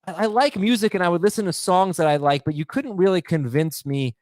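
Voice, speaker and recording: A man's voice: high voice, fairly quick, a little mellow, dry recording, studio quality. A man co-hosting a podcast, joking and self-deprecating, with many fillers. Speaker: podcast co-host. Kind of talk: casual podcast chat.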